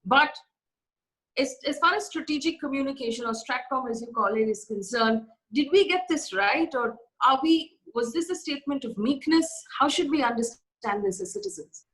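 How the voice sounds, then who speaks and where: A woman's voice: sits high, lively intonation, moderate pace, a bit bright, poor audio. A woman with an Indian accent hosting an interview, asking probing, articulate questions. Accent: Indian English. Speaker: interviewer host.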